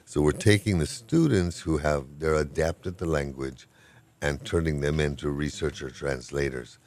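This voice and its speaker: An older man with an extremely deep, low voice talks in a relaxed, rambling way. He is good-humored and self-deprecating, a professor chatting through an interview. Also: normal speed. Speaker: professor of Hawaiian language. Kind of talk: casual interview talk.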